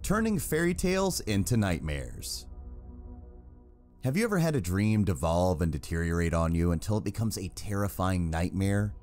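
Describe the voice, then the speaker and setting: Low-voiced man narrating a scripted review. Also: big pitch swings, moderate pace, a little mellow, faint music behind. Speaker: video game reviewer.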